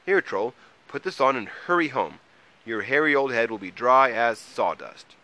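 Man doing an earnest, high-pitched storybook mole. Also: animated, moderate pace, sharp tone. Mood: practical. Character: practical, easily exasperated, kind-hearted male mole.

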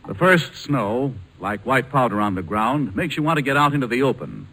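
An American man announcing on 1940s radio, reading scripted commercial narration in a measured, lyrical manner. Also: mid-range voice, very animated, bright, forceful tone, poor audio. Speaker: radio announcer and sponsor spokesman.

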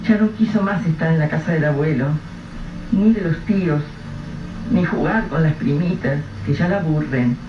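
A man giving measured, literary narration for a radio drama. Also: on the high side. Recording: distant, roomy, dull, noisy radio-play recording.

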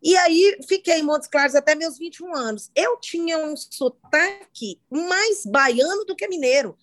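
A woman's voice: sits high, expressive, unhurried. A middle-aged woman from Minas Gerais, Brazil, with a faint Minas Gerais accent, hosting a podcast in casual chat, talking chattily with frequent restarts. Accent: faint Minas Gerais Brazilian Portuguese. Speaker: podcast host.

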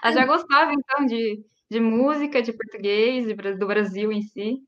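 An enthusiastic woman interviewer asking friendly questions, her voice a little higher than most women's. The sound is dull and lo-fi. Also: fairly even intonation, moderate pace.

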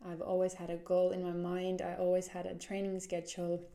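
A woman's voice: fairly low pitch, completely flat delivery, brisk, mellow. A woman in her twenties from Finland with a Finnish accent, hosting a solo podcast in an earnest way, often restarting as she searches for words. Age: 20s. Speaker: podcast host.

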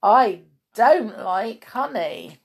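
A woman's voice: sits high, wildly swooping pitch, takes it slowly, breathy edge, pitched above the performer's own voice, full character voice. A woman voicing a naive, polite female cow in a children's storybook, easily disgusted and full of exaggerated exclamations and questions. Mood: disappointed.

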